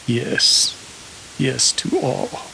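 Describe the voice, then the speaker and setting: A man's voice: mid-range voice, wildly swooping pitch, very slow, gravelly, bright, forceful tone, slight background noise, voice pushed up, exaggerated voice, poor audio. A man playing a vengeful, sardonic cowboy in an old-time western radio drama, with wry drawling banter. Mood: grim, taunting. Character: vengeful, wry male cowboy tracking his nemesis.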